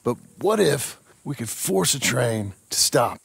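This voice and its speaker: An actor playing a rough, eager American outlaw in a video game, gravelly, plain-spoken and folksy. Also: male voice, medium pitch, sing-song, unhurried, fairly bright tone, no room sound, heavily acted. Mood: eager, persuading. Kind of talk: video game character acting.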